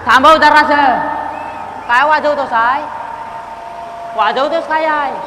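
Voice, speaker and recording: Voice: high voice, slow. A performer playing a bossy, irritable village secretary in a comic stage play, scolding and barking repeatedly. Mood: irritated, scolding. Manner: scolding, exasperated, repetitive barking. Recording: noisy live stage recording.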